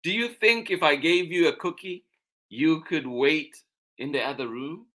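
A man's voice: on the high side, unhurried, bright, forceful tone, pitched above the performer's own voice. A man voicing a busy storybook mother, firm and coaxing in manner.

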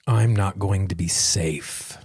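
A man hosting a self-help podcast, coaching listeners directly in measured, deliberate phrases. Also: low-pitched, a little mellow, breathy edge, no room sound, clean studio sound. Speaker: podcast host and tapping coach.

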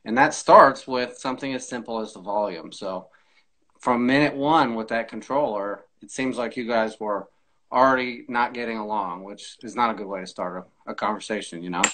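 Measured man explaining in an interview. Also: on the low side, fairly bright tone, rough recording. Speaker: air traffic controller, podcast guest. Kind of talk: conversational interview, explaining tips. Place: small indoor room, video call.

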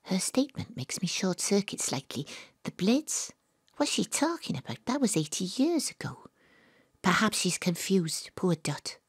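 A woman's voice: mid-range voice, very animated, a little slow, breathy edge, dry recording, whispered, clean studio sound, full character voice. A woman playing a wry, world-weary private detective who narrates her own story in a confiding way in an audio drama. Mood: puzzled. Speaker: narrator and lead character.

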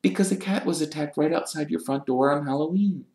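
A reader voicing a brisk, sensible and slightly bossy schoolgirl. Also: mid-range voice, a little mellow, pitched above the performer's own voice, lightly acted. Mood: hurried. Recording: roomy echo.

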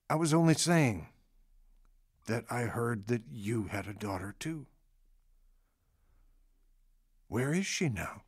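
An actor playing a gentle but persistent male patient in a radio drama, questioning earnestly and a little hesitantly. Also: mid-range voice, no echo, clean studio sound. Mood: concerned, earnest.

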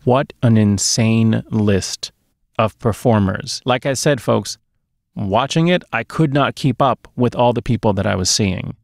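Creaky-voiced man hosting a podcast. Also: medium pitch, unhurried, no room sound, studio quality. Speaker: podcast host. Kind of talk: casual movie chat. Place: small dark home studio, indoors. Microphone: close podcast microphone on boom arm.

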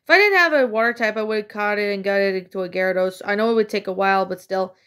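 A woman's voice: medium pitch, fast talker, fairly bright tone. A woman casually commenting on her own gameplay, chatty and rambling with many fillers, slightly congested from a cold. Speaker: let's play gamer.

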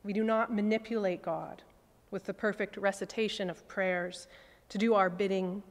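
A woman priest in her forties gives a measured, reflective sermon from a lectern stand mic. Her mid-pitched voice lets each phrase fall softly at the end. The long reverb of a large cathedral rings behind her words. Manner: measured, reflective preaching with soft falling phrase endings.

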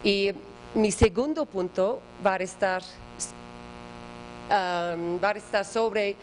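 A woman lecturing in a big auditorium in slow, halting second-language Spanish, searching for words. Her voice sits a little low, and a faint mains hum runs under the recording. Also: low-quality recording. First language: English. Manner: hesitant, halting non-native Spanish with many fillers. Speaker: guest lecturer. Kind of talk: academic conference talk. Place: large auditorium. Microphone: panel table microphone, far camera.

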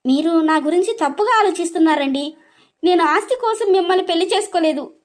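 Innocent young wife, woman voice actor, very high pitch, gentle, hurt, pleading, story dialogue. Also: quick pace. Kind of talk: dramatized story narration.

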